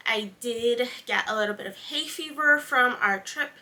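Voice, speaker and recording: A woman's voice: fairly high pitch, moderate pace, sharp tone. A young woman with a congested voice, hosting a knitting podcast as a rambling, unscripted sit-down chat full of ums. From East Coast of the United States. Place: home, seated indoors.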